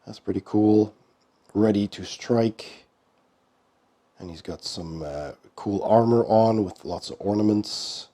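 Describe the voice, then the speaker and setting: Hoarse man with a slow, dark voice. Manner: unhurried, low-key describing with many pauses. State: hoarse from a bad cold. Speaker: board game channel host. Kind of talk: casual unboxing commentary.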